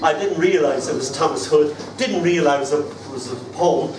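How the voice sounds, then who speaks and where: A man's voice: fairly high pitch, normal speed. An older man with an Irish accent, hosting a poetry open mic with theatrical, rambling storytelling. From Ireland. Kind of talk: live storytelling and poetry performance. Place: small indoor venue stage. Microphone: far camera. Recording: roomy, noisy, distant camera recording.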